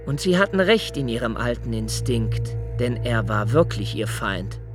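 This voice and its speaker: A man's voice: medium pitch, very animated, unhurried. An actor playing a lonely young male poet, narrating a radio play with an earnest, intense reading over faint music.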